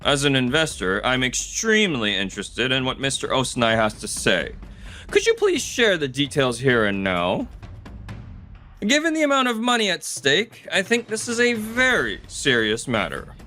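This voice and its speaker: A man's voice: mid-range voice, wildly swooping pitch, moderate pace, pushed and bright, faint music behind. A man voicing a polite investor who probes for proof in formal manga dub dialogue. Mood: firm interest. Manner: formal, inquisitive.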